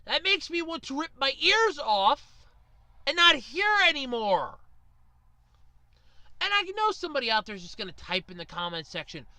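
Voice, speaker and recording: A woman rants at her viewers in a bright, forceful voice that swings widely up and down. She is sarcastic and exasperated, leaning hard on her words. The sound is dry, with no room around it. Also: high voice, very animated, normal speed, long pauses, no room sound. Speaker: video ranter. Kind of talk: opinionated rant to viewers.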